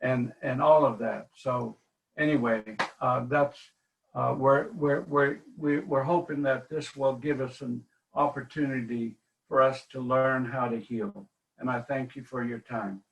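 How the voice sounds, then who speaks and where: Reverend speaking in a webinar, halting, lots of uh's, mid-pitched male voice. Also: steady tone, creaky, dull audio, a little hiss or hum. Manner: hesitant, many fillers. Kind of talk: webinar talk.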